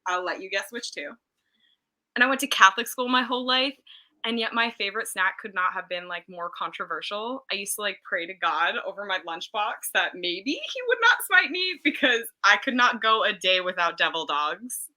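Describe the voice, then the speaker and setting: Very bright animated woman comedian. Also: medium pitch, moderate pace. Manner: animated storytelling, self-deprecating. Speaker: comedian guest. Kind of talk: casual interview chat.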